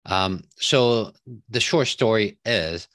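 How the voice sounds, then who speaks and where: A man, telling his story as a podcast guest in a relaxed, chatty way with many fillers. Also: lowish voice, slow, no room sound. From Taiwan. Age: 30s. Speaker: podcast guest, real estate investor. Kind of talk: casual interview chat.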